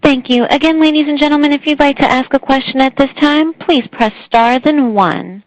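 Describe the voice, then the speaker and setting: A woman speaks as a conference call operator, reading from a script. Her voice is high, and the phone sound is dry and a little dull. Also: moderate pace, no echo. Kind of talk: scripted announcement.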